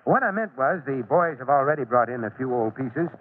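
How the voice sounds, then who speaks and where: Actor as a folksy fire chief, tinny old radio. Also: male voice, medium pitch, expressive, a little fast, slight background noise, lightly acted. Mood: genial, easygoing. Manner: avuncular, folksy, with small hesitations. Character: kindly, fatherly fire chief, gruffly friendly. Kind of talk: old-time radio drama acting.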